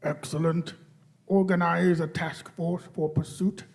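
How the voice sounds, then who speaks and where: A man's voice: on the high side, level tone, unhurried, darkish tone. A man playing a cold, menacing alien war machine commander in a radio play, issuing clipped orders. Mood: commanding. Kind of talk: radio play performed by amateur players.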